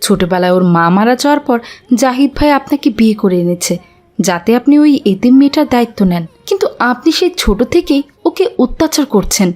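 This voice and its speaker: A woman's voice: fairly high pitch, animated, fast, a little mellow, close to an everyday voice. A woman playing a stern aunt in a cartoon drama, accusing and resolute in defence of an orphan.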